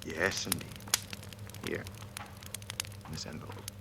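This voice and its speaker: A menacing male crook in an old radio thriller. His voice is deep and creaky, and he taunts with slow, false courtesy. The old recording is clearly noisy. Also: flat delivery, bright, forceful tone, exaggerated voice. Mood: smug, mocking. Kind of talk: 1940s radio suspense acting.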